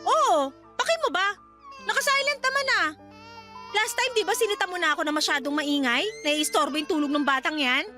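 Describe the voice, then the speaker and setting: A woman's voice: very high-pitched, a little fast, over music. An actress playing a bitter, defiant young mother in a Filipino radio drama, snappy and sarcastic, with a Filipino accent.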